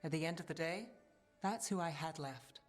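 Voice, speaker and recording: A woman's voice: deep voice, moderate pace, natural voice. A woman voicing a guarded, self-reliant female companion in a fantasy video game, wry and understated. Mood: wry, reflective. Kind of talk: scripted video game dialogue. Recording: game audio with music underneath.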